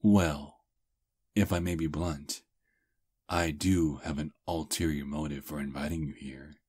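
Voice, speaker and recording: Ancient vampire prince, played by a man: courtly seducer, breathy husky voice, slow intimate ASMR delivery. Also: a bass voice, steady tone, darkish tone, full character voice. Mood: quietly menacing. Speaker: ASMR roleplay performer. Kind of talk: intimate ASMR roleplay acting.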